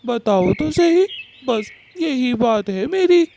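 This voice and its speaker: A woman voicing a sly male cartoon bird husband, pleading innocence in a flattering, self-pitying manner. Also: on the high side, slow, gravelly, slight background noise, heavily acted. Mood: flattering, wheedling.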